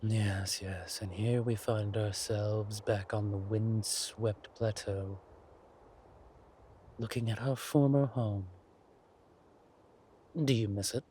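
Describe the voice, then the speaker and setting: An actor playing a smug, menacing villain king in an intimate audio roleplay, speaking deliberately and theatrically to a beloved consort. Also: fairly low pitch, fairly even intonation, warm tone, slight background noise. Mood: gloating, fond. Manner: slow, deliberate, theatrical, breathy asides.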